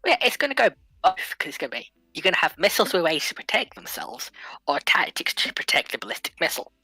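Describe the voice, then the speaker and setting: A woman in a casual livestream debate, argumentative and quick to counter the other side's points. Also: lowish voice, sing-song, pushed and bright, husky, no echo, soft background music. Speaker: livestream panelist.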